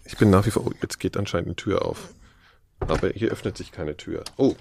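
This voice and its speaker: A man giving a casual, improvised running description, halting as he thinks aloud. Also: sits low, creaky, darkish tone, slight background noise. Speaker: podcast participant describing what he experiences.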